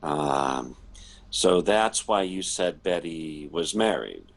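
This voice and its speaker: A man's voice: a bass voice, lively intonation, takes it slowly, heavily acted. A man acting a nervous, hesitant middle-aged toy salesman in a 1940s-style radio drama. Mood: awkward, kindly. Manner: hesitant, stammering, flustered.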